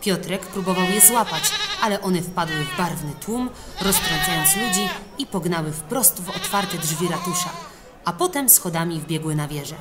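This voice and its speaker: Female audiobook reader, slightly low register, expressive children's storybook narration. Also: normal speed, over loud music.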